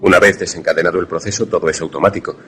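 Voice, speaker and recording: An actor playing a wary, grave protagonist who narrates a radio suspense drama formally. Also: male voice, sits low, fairly even intonation, rapid-fire, fairly bright tone, husky, heavily acted. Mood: guarded politeness. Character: wary male narrator-protagonist, secret lover in danger.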